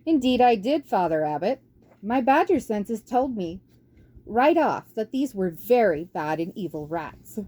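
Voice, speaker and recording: A woman's voice: mid-range voice, big pitch swings, unhurried, mellow. Woman as a blunt, resolute lady badger. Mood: firm, assured. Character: plain-spoken, resolute female badger.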